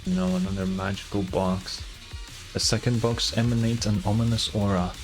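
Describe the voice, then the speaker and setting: A man's voice: on the low side, big pitch swings, normal speed. A man streaming a video game, reading its story narration aloud with casual asides, over game music.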